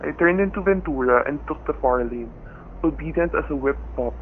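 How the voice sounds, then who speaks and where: A dull, slightly noisy radio-play recording carries a fairly high male voice. A man acts out a quick-witted husband under threat, obedient on the surface, telling his ordeal in tense, hard-boiled thriller style. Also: natural voice. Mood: grim, tense. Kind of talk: radio thriller acting and first-person narration.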